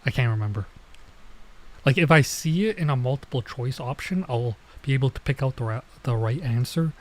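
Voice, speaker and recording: A man's voice: medium pitch, expressive, a little fast. A man streaming gameplay with casual commentary, thinking aloud in a hesitant, rambling way. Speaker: streamer, virtual YouTuber.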